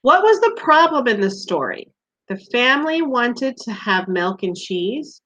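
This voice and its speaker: A woman's voice: on the high side, sing-song, measured pace, bright, forceful tone. A woman teacher leading a read-aloud quiz for young children, asking simple questions and giving encouragement in a slightly dull, lo-fi recording.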